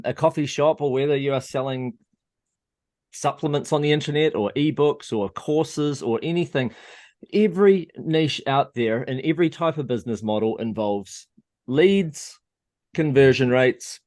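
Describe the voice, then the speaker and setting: A man's voice: mid-range voice, darkish tone. Man explaining points step by step. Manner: methodical, lists points and checks in with "okay?" and "right?". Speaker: interview host. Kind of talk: explaining in an interview.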